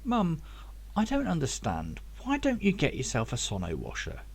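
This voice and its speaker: Male reader as sincere grown-up son in sci-fi audiobook, lightish pitch, animated. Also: moderate pace, gravelly, husky, exaggerated voice. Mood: puzzled. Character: earnest adult son, emotional time traveller.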